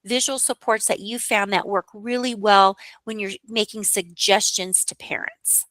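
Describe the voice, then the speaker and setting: A woman's voice: medium pitch, steady tone, measured pace, a bit bright, no echo. A woman hosting a podcast, asking guests questions and summing up their points. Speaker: podcast host.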